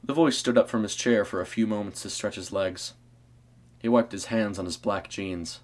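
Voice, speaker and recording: A man's voice: fairly low pitch, moderate pace. A man reading scripted story narration as the narrator of an audio tale.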